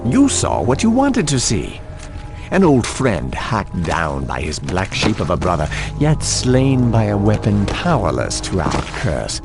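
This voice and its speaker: A scheming undead villain from a video game. He gloats and mocks with grand theatrical flourish, his pitch leaping and sinking dramatically. Loud background sound sits behind the speech. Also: huge pitch swings, a little slow, music in the background, heavily acted. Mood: gloating. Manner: mocking, smug, dramatic.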